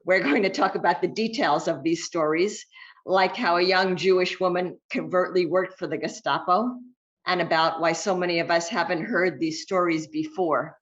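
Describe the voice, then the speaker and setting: A woman hosting an author interview over a video call, reading a measured, prepared introduction. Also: on the low side, muffled recording. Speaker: interviewer and host.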